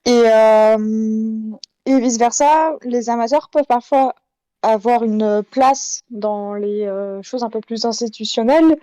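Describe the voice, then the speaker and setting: A woman speaking in a debate, measured and hesitant, qualifying her points carefully. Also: fairly high pitch, warm tone, dry recording. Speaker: panelist in a debate. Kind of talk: thoughtful debate talk about audio fiction.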